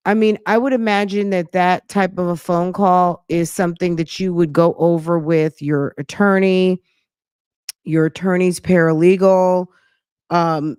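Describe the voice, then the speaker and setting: A woman's voice: fairly low pitch, a little mellow, clean tone, no echo. Sassy woman commentator, close dry sound. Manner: conversational, emphatic repetition, rhetorical questions. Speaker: host of a commentary show. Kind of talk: casual live reaction commentary, thinking aloud.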